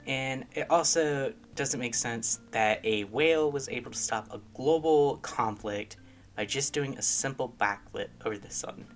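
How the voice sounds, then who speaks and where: A man speaking as a guest commentator, offering his opinion in a measured commentary style. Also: mid-range voice, a bit bright. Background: background music.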